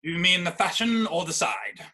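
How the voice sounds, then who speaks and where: A man plays an earnest young gentleman in a period stage comedy. His voice is fairly high and bright, with a polite, slightly hesitant, deferential way of answering. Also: big pitch swings, moderate pace, full character voice. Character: earnest, polite young Victorian gentleman suitor, aged twenty-nine. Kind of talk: theatrical comedy of manners reading. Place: virtual performance from home in isolation.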